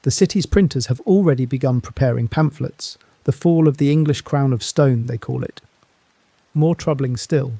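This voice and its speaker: An actor playing a somber male foreign correspondent reporting from abroad, in a hushed, somber manner. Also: mid-range voice, dry recording, close to an everyday voice. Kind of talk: scripted dramatic news report.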